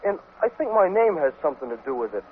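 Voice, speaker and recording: A man's voice: high-pitched, very animated, husky, heavily acted. A man playing a dim, bashful teenage athlete, hesitant and gawky, in a 1950s radio sitcom. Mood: bashful, worried. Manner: hesitant, gawky, slow-witted. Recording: tinny, noisy vintage radio recording.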